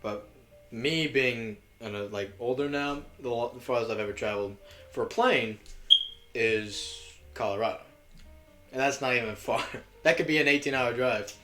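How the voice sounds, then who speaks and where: A man's voice: medium pitch, normal speed, pushed and bright, faint music behind. Casual young man co-hosting a podcast. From Russia. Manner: blunt, swears, laughs often. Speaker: podcast co-host. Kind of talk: casual chat.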